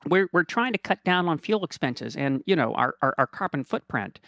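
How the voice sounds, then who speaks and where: A man's voice: fairly high pitch, expressive, normal speed, a bit bright, dry recording, clean studio sound, voice pushed up. A man doing a stammering, timid limo driver. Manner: hesitant, stammering, apologetic.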